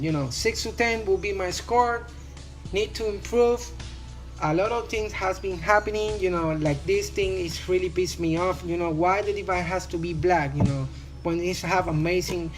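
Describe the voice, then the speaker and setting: Man with a Spanish accent. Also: sits high. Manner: rambling, filler-heavy, repeats "you know". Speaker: tech YouTuber. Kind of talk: casual phone review voice-over.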